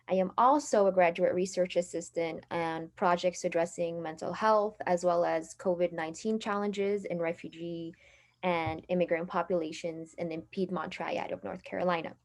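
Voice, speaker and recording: A young woman from Morocco speaking as a panel moderator, introducing a session in a formal, organized style. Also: on the low side.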